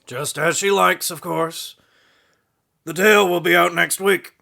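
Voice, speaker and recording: A man's voice: high voice, steady tone, measured pace, creaky, bright, forceful tone, full character voice. A man playing a curt, businesslike newspaper editor in an audio drama, matter-of-fact and dismissive. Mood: brusque, businesslike.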